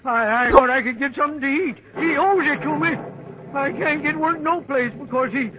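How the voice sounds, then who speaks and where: An actor playing an aggrieved drifter and fired ranch hand with an American accent, pleading and stammering in a radio drama. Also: male voice, extremely high pitch, normal speed. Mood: desperate, aggrieved. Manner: stammering, pleading, uneducated speech. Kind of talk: 1950s radio drama acting. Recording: muffled old radio recording, faint noise.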